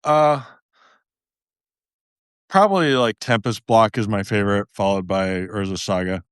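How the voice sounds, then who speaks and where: Low-voiced, self-mocking man, dry and close. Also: a little slow, smooth, no room sound. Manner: chatty, self-deprecating, laughs often, many restarts. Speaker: podcast guest, game designer. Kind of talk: casual interview chat.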